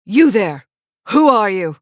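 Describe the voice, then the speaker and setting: A woman voicing a loyal, skeptical scholar's assistant in a video game, with formal, deliberate and theatrical speech. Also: mid-range voice, sing-song, no room sound, clean studio sound. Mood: suspicious. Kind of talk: dramatic video game voice acting.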